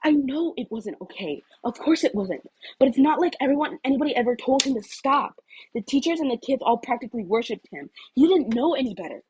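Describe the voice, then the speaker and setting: Girl doing nervous sweet teen boy in a story, pleading, higher pitch, trying to calm everyone. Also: fairly quick, husky, dry recording, full character voice, low-quality recording. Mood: flustered defensive. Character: earnest, anxious, conciliatory teenage boy peacemaker.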